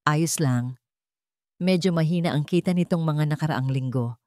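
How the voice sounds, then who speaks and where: A woman's voice: low-pitched, moderate pace, dark tone, no room sound, clean studio sound, lightly acted. A woman voicing a hesitant, guilty grown son. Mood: worried. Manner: hesitant, evasive.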